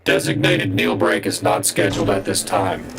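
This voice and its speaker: A man voicing a cold, menacing robot warden in a science fiction audio drama, with a deep buzzing voice and flat, officious announcements. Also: normal speed, vocal fry, background noise. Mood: commanding.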